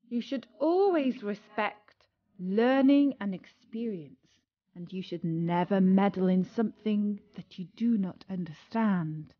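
A woman narrating a scripted children's story as a storyteller, expressive and dramatic, with deliberate pauses. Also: medium pitch, no room sound. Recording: dull lo-fi audio with music.

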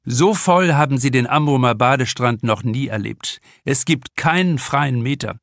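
A man's voice: mid-range voice, moderate pace, fairly bright tone, no echo. Man reading an audiobook, dry studio sound. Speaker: author reading own audiobook. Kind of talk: scripted narration, audiobook crime novel.

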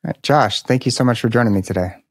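A man hosting an interview, asking brief, quick questions from a home studio at a desk mic. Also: mid-range voice, faint music behind. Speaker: podcast host. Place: home studio with colored lights, indoors. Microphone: desk mic on arm.

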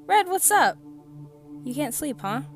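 A woman voicing a sweet, bubbly young female crewmate in a comic dub, with a cutesy, expressive delivery. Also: high voice, a little slow, soft background music. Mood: sleepy, caring. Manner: cutesy, sing-song, expressive.

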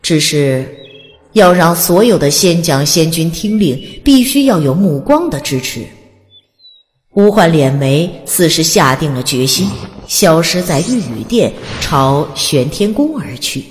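A woman's voice: sits low, big pitch swings, unhurried, faint music behind. A woman reading scripted story narration in a dramatic audiobook style. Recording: long reverb, faint background noise.

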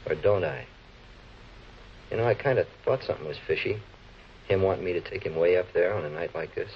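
A man's voice: low voice, brisk, slight background noise. A man playing a defensive chauffeur in a 1940s television crime drama, talking in a casual, streetwise, evasive way. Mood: defensive, puzzled. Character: slangy, defensive male chauffeur under police questioning. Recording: muffled old film soundtrack.

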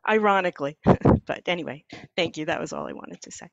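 A woman's voice: lowish voice, fairly quick, gravelly, no echo. A woman from Newark speaking up in a video discussion, hesitant and reminiscing, with fillers. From Newark, New Jersey. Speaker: participant. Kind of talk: unscripted comment. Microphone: video call. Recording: dull, muffled call audio.

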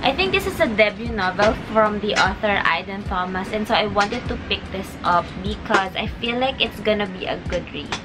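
Young woman, casual bedroom vlog voice, rambling, over a clear lo-fi music bed. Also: medium pitch, lively intonation, moderate pace, hardly any pauses. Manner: chatty, rambling with restarts, switches to Tagalog phrases. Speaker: book vlogger. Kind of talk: casual talk to camera. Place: small bedroom, indoors.

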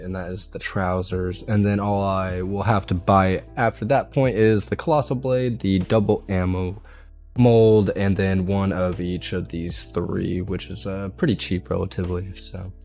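A young man with a raspy, hoarse voice from being ill, chatting casually over his gameplay and swearing now and then. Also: low-pitched, little pitch movement, a little slow, a little mellow, faint music behind. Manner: laid-back, swears casually. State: hoarse from illness. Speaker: gaming video creator.

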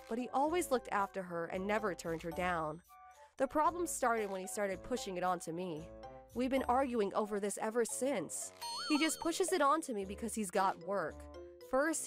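A woman's voice: medium pitch, natural voice. A woman voicing a put-upon young housewife, firm and exasperated, narrating her own story in a manga dub. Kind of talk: scripted first-person manga dub narration.

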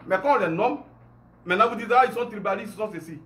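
A man in his forties with an Ivorian French accent delivers an emphatic, indignant speech straight to his phone camera. His voice sits high for a man, and he keeps firing off rhetorical questions. It is recorded indoors in a room at home. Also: fast, a bit bright. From Côte d'Ivoire. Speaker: online commentator. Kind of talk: impassioned monologue to camera.

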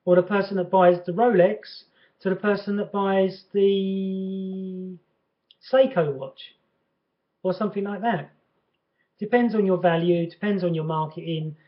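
A middle-aged man talking casually and with opinion to a camera at home, like a personal trainer explaining his trade. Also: high voice. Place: bright furnished living room at home. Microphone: webcam mic at about arm's length. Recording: dull lo-fi webcam sound.

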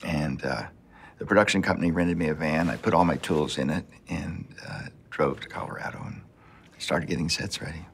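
An older man, an art director, recalling his early film work in an unhurried, easygoing way with many fillers. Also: low voice, gravelly, fairly bright tone, husky. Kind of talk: reminiscing interview talk. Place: indoor room with plants.

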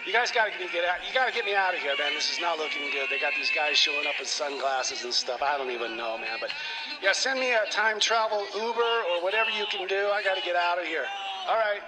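A man's voice: high voice, lively intonation, quick pace, loud music behind the voice, poor audio. A man playing a panicky stranded slacker friend, pleading and rambling in a comedy radio skit.

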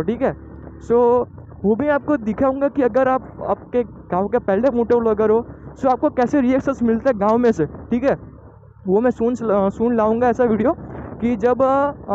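Young male motovlogger from Gujarat, chatty and excitable, high voice, muffled helmet-mic audio with wind. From Gujarat, India. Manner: chatty and excitable, calls viewers guys. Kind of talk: casual motovlog narration while riding. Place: outdoors on a moving motorbike.

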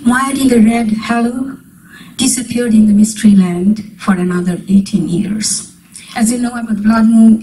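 A woman's voice: medium pitch, dark tone, far from the mic, a little hiss or hum, low-quality recording. A middle-aged woman from Bangladesh giving a storytelling talk with poems, holding a microphone in a community meeting room. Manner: storytelling, wondering tone, breaks into light laughs. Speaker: guest speaker. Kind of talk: lecture with poetry reading. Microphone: handheld microphone.

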